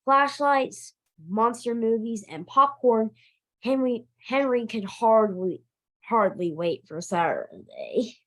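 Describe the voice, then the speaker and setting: A woman reading a children's story aloud in an animated, expressive storytime style, now and then stumbling over a word. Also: on the high side, slow pace. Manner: expressive, emphatic, occasionally stumbling over words. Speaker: library director reading a picture book aloud.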